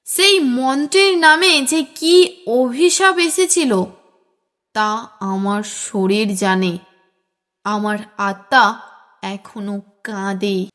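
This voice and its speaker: A woman's voice: on the high side, drawn-out words, pauses often, fairly bright tone, big-room sound. A woman voicing a wronged, sorrowful young woman's diary in a solemn, plaintive audio-story reading. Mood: sorrowful, haunted.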